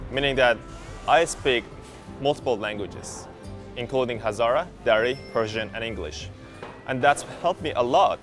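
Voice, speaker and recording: A man from Iran giving an interview testimony, pausing and searching for words as he speaks. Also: medium pitch, fairly bright tone. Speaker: employee sharing his story.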